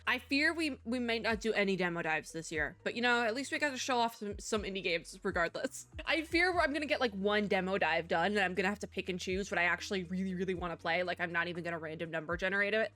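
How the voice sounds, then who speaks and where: A woman's voice: mid-range voice, fast, few pauses, bright, forceful tone. A young woman streamer with a sore throat, chatting casually and self-deprecatingly while she reviews games, often restarting her sentences. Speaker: VTuber reviewing game showcase. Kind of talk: casual commentary.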